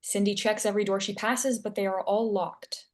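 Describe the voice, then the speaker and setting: A woman's voice: medium pitch, level tone, normal speed, darkish tone. A woman reading stage directions as scripted narration.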